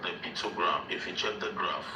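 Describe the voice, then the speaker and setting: A man's voice: low-pitched, fairly quick. A man, speaking as a guest in a casual online group discussion and repeating his points with emphasis. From Nigeria. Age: 40s. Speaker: call-in guest. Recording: roomy distant online call with background noise.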